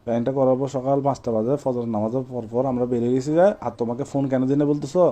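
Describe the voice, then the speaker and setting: A man's voice: medium pitch, steady tone, fast, mellow. A young man with a Bangladeshi accent, talking casually as a boyfriend in a comic phone argument, evasive and placating. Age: young adult.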